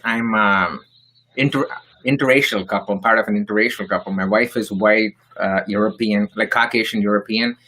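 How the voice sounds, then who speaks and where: A man's voice: lowish voice, pushed and bright. A man from India speaking on a panel discussion, earnest and explaining with examples. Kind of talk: roundtable discussion.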